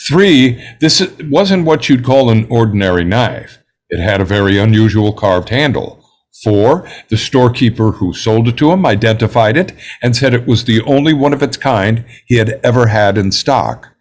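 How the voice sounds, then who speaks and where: A man playing a composed, self-assured juror in a script table read, speaking in a measured, precise way. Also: medium pitch, lively intonation, close to an everyday voice.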